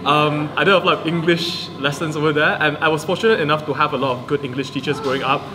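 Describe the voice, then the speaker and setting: A young man, a native Chinese speaker, talking quickly and chattily about his debate path. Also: a little high, steady tone. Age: young adult. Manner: quick, chatty, laughs easily. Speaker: debater, guest.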